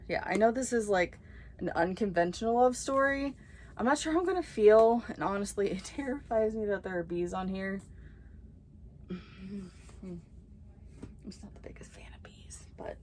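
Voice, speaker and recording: A young woman chatting casually through a book haul as a vlogger, excitable and prone to whispered asides. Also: mid-range voice, a little slow, long pauses, a little hiss or hum.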